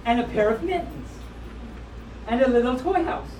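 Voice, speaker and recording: Lively high-voiced woman reading aloud, roomy and distant. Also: normal speed. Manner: playful, wry, breaks off to comment on the text. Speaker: storyteller reading picture books to a live group. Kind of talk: live storybook read-aloud with casual joking asides. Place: live session at a convention. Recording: roomy, distant live event recording with clear noise.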